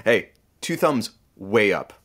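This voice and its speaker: Irreverent radio sidekick: very deep for a female voice, husky, animated, dry wit in casual banter. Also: takes it slowly, slight background noise, heavily acted. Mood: amused, wry. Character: wry, irreverent radio show producer. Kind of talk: audio drama, casual banter.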